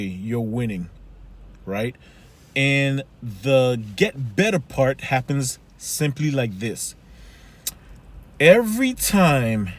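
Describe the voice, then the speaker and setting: A man with a Haitian accent giving an earnest motivational talk as a life coach, often asking "right?". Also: mid-range voice. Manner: earnest, repeats "right?" and "keep it moving".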